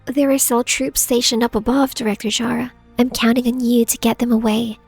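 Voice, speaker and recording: Actress as stubborn female researcher, husky, some creak, dry close sound, terse, resolute, game story. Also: a little high, little pitch movement, brisk, a little mellow, no room sound. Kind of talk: fan dub game story acting. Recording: faint background music.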